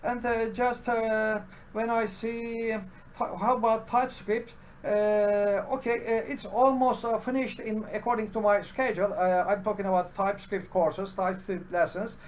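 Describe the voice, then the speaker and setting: A man's voice: high voice, level tone, slight background noise. Hesitant man with a Turkish accent. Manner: halting, heavy with "uh" fillers and restarts. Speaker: programming channel host. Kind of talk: unscripted solo commentary.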